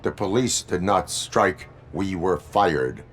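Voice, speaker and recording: An actor playing a stoic cyborg police officer in a video game, speaking in a terse, measured deadpan. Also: male voice, low voice. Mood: flat, grave.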